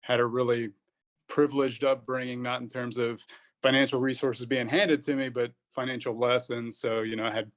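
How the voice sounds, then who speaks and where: A man's voice: medium pitch, little pitch movement, moderate pace. A man in his thirties, a pharmacist guest on a podcast, answering casually in a rambling way. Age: 30s. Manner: rambling, many fillers and restarts.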